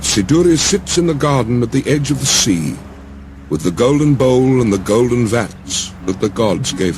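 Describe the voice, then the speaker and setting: A man narrating a dramatized audiobook as a solemn storyteller, with deliberate phrasing. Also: mid-range voice, a little mellow. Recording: music behind the voice.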